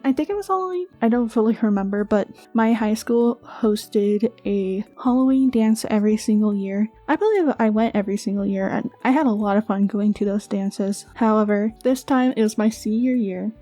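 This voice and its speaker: A woman's voice: on the high side, dark tone. A young woman talking casually over her animation video, chatty and rambling, laughing often between fillers.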